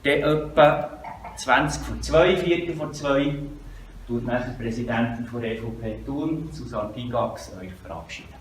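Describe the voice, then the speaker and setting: A man's voice: medium pitch, moderate pace, slight background noise. Swiss German man hosting, echoey room. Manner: informal, chatty announcements. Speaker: event host. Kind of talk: welcome speech. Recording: echoey, distant room sound.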